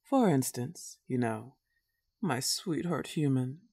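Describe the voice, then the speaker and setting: A woman's voice: rumbling low, expressive, warm tone, no echo, clean studio sound, heavily acted. A woman playing a smug, clingy, lovesick werewolf in a comedic roleplay audio drama, taunting and cooing. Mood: flirty, possessive. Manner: taunting, drawn-out teasing and cooing.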